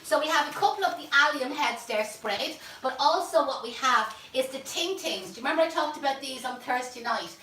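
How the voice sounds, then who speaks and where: A woman's voice: high voice, expressive, fairly quick, few pauses, pushed and bright, a little hiss or hum. Dublin lady showing a flower arrangement live, chatty and homely, sounds a bit far from the mic. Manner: chatty, homely, jokes asides. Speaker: florist teacher and host. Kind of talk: live floral demonstration. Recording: roomy, mic at a distance.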